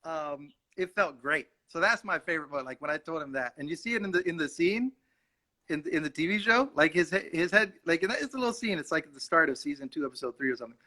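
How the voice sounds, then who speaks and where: A young man, an actor and native Urdu speaker, telling stories in a lively way during an interview. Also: a little high, fast talker. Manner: animated, acts out voices in his anecdotes. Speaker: actor, guest. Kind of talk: casual storytelling in an interview.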